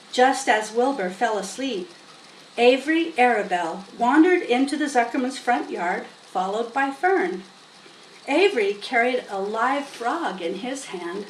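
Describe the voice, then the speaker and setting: A woman reading a children's story aloud in an expressive, unhurried way with dramatic emphasis. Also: on the high side. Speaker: storybook reader. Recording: roomy, distant mic with faint noise.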